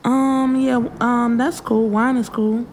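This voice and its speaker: A woman's voice: fairly high pitch, little pitch movement, slow pace, a little mellow, a little hiss or hum, pitched above the performer's own voice. A woman voicing a guarded, sassy young woman who talks back hesitantly in an urban fiction audiobook. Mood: hesitant, nervous. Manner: hesitant, skeptical, talking back.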